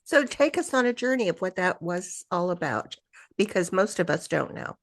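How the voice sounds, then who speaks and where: A woman's voice: fairly low pitch, very animated, moderate pace, dry recording. A woman hosting a podcast interview, asking questions in a chatty way with fillers. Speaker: podcast host.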